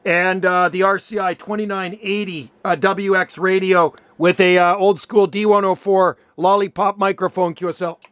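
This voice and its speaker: A man's voice: sits high, level tone, moderate pace, hardly any pauses, fairly bright tone, clean tone, no echo. A man talking casually and colloquially as an amateur radio operator, often affirming what he has heard. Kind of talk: casual radio contact chat.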